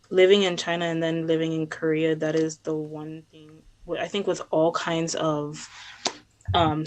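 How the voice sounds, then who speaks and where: A young woman who has studied abroad, speaking reflectively in a casual chat with drawn-out fillers. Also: low voice, rough recording. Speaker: guest speaker, student abroad.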